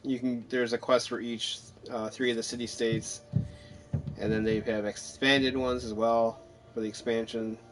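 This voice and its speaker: A man's voice: medium pitch, flat delivery, normal speed. A man giving casual, wry, mildly sarcastic commentary as he plays through a game. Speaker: gamer narrating own playthrough.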